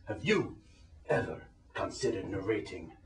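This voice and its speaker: Man acting mysterious sinister agent, portentous pauses, comic radio play, roomy distant recording. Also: low voice, lively intonation. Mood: sinister, secretive. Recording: echoey room, distant microphone.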